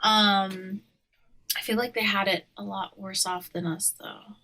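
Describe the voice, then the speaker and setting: A woman from Hawaii with a bright, forward voice, talking casually on a podcast. She is opinionated and slangy, speaking in a loose conversational way at a medium pitch. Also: steady tone, unhurried. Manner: opinionated, slangy, often laughing. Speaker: podcast co-host.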